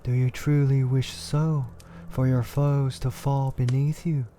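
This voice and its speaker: A man voicing a dark spirit that poses as a gentle, warm star, coaxing in formal old-fashioned speech in a fantasy tale. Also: medium pitch, level tone, takes it slowly, a little hiss or hum, pitched above the performer's own voice, natural voice. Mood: gently sympathetic. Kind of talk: fantasy storytelling roleplay.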